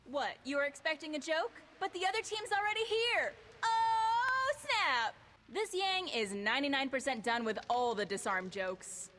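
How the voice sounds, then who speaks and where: A woman's voice: high-pitched, animated, moderate pace, fairly bright tone. A woman playing a feisty, cocky young female fighter in video game voice lines, punchy and teasing. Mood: cocky, defiant.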